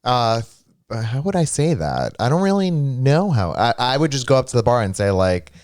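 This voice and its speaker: A man's voice: medium pitch, big pitch swings, a little mellow, dry recording, clean studio sound. A man whose first language is Greek, co-hosting a casual live stream and chatting with viewers. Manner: chatty, quick asides to chat. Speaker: co-host.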